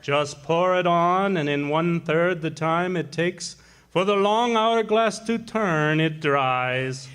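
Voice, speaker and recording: Pompous Shakespearean-wannabe pitchman, played by a man; notably high voice, florid theatrical delivery, old-time radio comedy. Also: slow pace, a bit bright, clear, resonant tone. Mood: grandly declaiming. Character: pompous, hammy male pitchman fancying himself a Shakespearean actor. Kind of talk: 1940s radio comedy acting.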